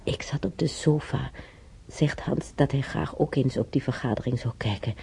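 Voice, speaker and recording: A man playing an elderly German emigrant in a radio drama, reminiscing in a rambling, halting way with a German accent and husky, creaky voice. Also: mid-range voice, darkish tone. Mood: nostalgic. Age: older. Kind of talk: intimate radio drama monologue.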